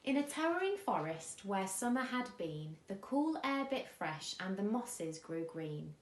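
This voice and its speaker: Slow, expressive woman storyteller in echoey room. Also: medium pitch, a little hiss or hum. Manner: expressive, dramatic pauses and gasps. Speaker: teacher reading a bedtime story. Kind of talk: animated rhyming picture-book storytelling for children.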